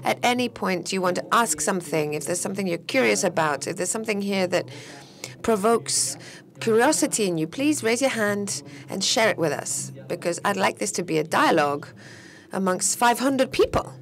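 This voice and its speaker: A woman giving live interpretation of a panel discussion, steady but slightly halting, with restarts as she keeps up with each speaker. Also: mid-range voice, vocal fry.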